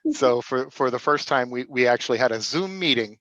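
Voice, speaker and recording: A man hosting a live-streamed theater reading, speaking casually and haltingly with many restarts. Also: mid-range voice, animated, fairly bright tone, no room sound. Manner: halting, many restarts and fillers, laughs often. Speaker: host of a theater company's reading. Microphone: video call. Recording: dry, dull lo-fi video call audio.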